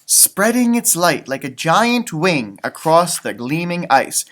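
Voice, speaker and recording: A man reading a children's picture book aloud in an expressive, teacherly way, with casual chatty asides. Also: a little high, slow. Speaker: storybook reader, elementary school teacher.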